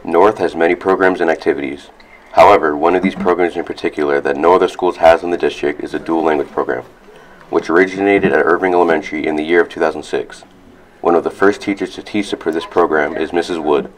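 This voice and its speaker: Teen male reporter, very deep, brisk scripted read. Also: fairly even intonation, poor audio. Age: teens. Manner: reads quickly from a script. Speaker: student reporter.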